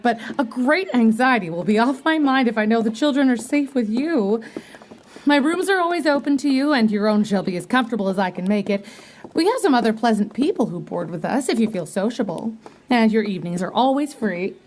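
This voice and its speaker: A woman's voice: on the high side, a little hiss or hum, lightly acted. A woman playing a welcoming, busy boarding-house mistress in an audio play, chatty and gracious. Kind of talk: audio drama acting.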